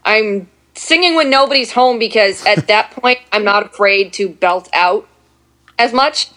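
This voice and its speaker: A woman with a deeper voice for a woman, chatting at length as a guest in a casual talk. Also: unhurried, bright, forceful tone, low-quality recording. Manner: chatty, self-deprecating, talks at length. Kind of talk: casual chat.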